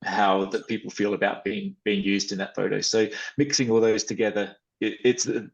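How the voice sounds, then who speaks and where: Man, fairly low gravelly voice, even intonation, informal explanations, lo-fi sound. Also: normal speed. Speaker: interview guest, photographer. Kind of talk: conversational explanation in an online discussion.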